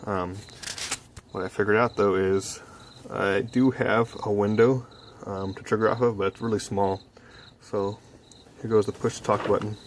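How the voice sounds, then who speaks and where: A young man giving a casual project walkthrough in a low-key, hesitant way, thinking aloud as he goes. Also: slight background noise. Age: young adult. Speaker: hobby electronics vlogger. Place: small cluttered home workbench indoors. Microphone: handheld phone camera.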